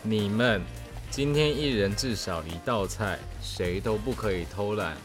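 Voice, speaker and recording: A man's voice: lowish voice, unhurried, music in the background, natural voice. A man acting as a bossy, fussy young dorm cook in an audio drama, with a Taiwanese Mandarin accent. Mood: indignant, bossy.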